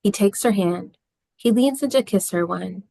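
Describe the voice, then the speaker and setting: A woman's voice: medium pitch, moderate pace, vocal fry, mellow, no echo. Woman reading stage directions, very dry sound. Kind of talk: scripted narration at table read.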